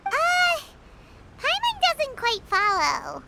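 A woman's voice: very high-pitched, wildly swooping pitch, takes it slowly, gravelly, very noisy, exaggerated voice. A woman voicing a tiny, naive, childlike fairy companion in a video game. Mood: puzzled. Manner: speaks of self in third person. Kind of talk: animated video game character acting.

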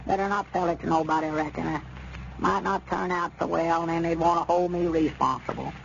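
Male high-pitched old Southern country storekeeper, stubborn and folksy, vintage radio comedy, muffled noisy recording. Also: fairly even intonation, a little fast, creaky. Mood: wary. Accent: rural Southern US. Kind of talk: 1930s radio comedy acting.

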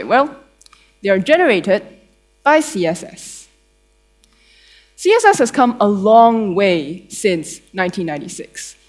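A young woman with a Malaysian accent giving a tech conference talk on stage in a conversational, playful way with dry humour. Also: medium pitch. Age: young adult. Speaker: conference speaker, developer advocate. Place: theatre stage before an audience.

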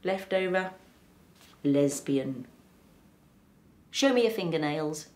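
A deadpan blunt therapist. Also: female voice, deep voice, takes it slowly, roomy sound. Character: blunt quirky female therapist, middle-aged, overconfident.

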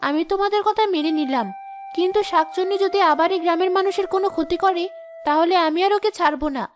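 A woman's voice: very high voice, quick pace, faint music behind. A woman voicing a stern but forgiving fairy guardian in a cartoon, speaking in a solemn, firm manner. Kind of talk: animated cartoon dialogue.

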